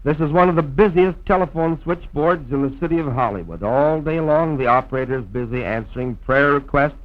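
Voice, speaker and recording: An American man narrating a scripted promotional film in a formal, measured old-time radio announcer's style, on a vintage soundtrack. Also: fairly high pitch, muffled recording. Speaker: radio program host and narrator.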